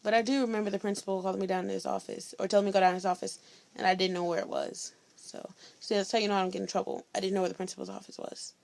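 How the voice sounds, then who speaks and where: A teenage girl from Indiana, chatting straight to her camera about school drama. She talks in a blunt, sassy, slangy way, and her voice has a loud edge. Her voice sits at a middle pitch and drags into a gravelly fry. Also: level tone, very fast. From Indiana, USA. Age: teens. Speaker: vlogger. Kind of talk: casual storytelling vlog.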